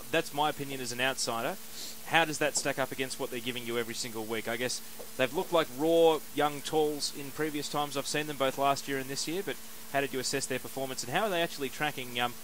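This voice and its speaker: A man's voice: medium pitch, steady tone, fast, hardly any pauses, a bit bright, a little hiss or hum, rough recording. Upbeat man; community radio interviewer.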